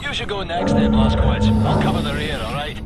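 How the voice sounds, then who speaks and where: An actor playing a brash, wary resistance fighter in a game cutscene, blunt and exclaiming. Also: male voice, a little high, big pitch swings, a little fast, loud music behind the voice. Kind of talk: video game cutscene acting. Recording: distant, loud vehicle noise behind.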